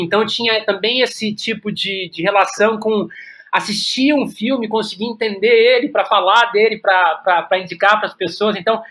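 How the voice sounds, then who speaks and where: High-voiced, bright Brazilian man, thinking aloud. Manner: halting, searching, repeats words as he thinks aloud. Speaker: podcast guest, playwright and director. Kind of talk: reflective conversational interview.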